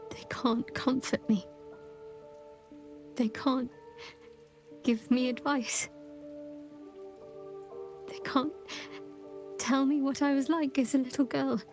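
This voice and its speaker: Slow, hushed, grieving young heroine. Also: female voice, sits high, steady tone, music in the background. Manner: measured, hushed, halting, reflective delivery. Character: grieving young woman, willful, wistful, tenderly resolute. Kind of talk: cinematic video game voice acting.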